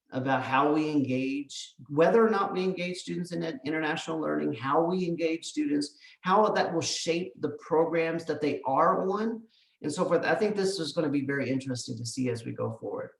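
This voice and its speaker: A man's voice: a little high, normal speed, creaky. Man lecturing in an echoey room. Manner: explanatory, conversational asides, rhetorical questions. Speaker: presenter, education abroad specialist. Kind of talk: academic presentation, semi-scripted lecture. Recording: roomy echo, distant microphone.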